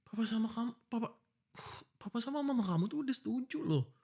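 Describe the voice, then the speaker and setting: A man's voice: extremely high pitch, lively intonation, fast, gravelly, mellow, breathy edge, exaggerated voice. Exasperated young fiancé, acted by a man. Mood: annoyed, suspicious. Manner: pleading, scolding, informal and insistent. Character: exasperated, protective young fiancé arguing before his wedding. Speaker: voice actor. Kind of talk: boyfriend ASMR roleplay, one-sided dialogue.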